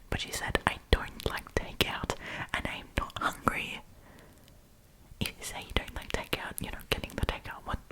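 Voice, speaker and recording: Whispering woman, chatty ASMR style. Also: poor audio. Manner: chatty, informal, giggly. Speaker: ASMR storytime host. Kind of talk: whispered ASMR, casual reading and commentary.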